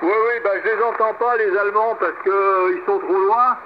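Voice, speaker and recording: A man's voice: high voice, steady tone, normal speed. A man chatting hesitantly as an amateur operator over single-sideband shortwave radio. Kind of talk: casual radio exchange with call signs. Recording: muffled shortwave radio, loud static. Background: loud radio noise.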